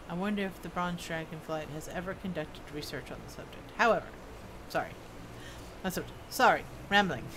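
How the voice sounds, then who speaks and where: A woman game streamer chatting casually and rambling to herself as she plays, sometimes reading quest text aloud. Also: fairly low pitch. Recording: clear background game noise. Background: video game sounds.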